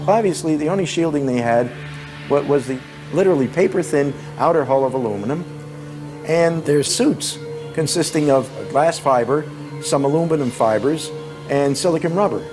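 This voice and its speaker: A man's voice: on the high side, normal speed. A man giving spontaneous spoken commentary as a documentary interviewee. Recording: music behind the voice. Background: music.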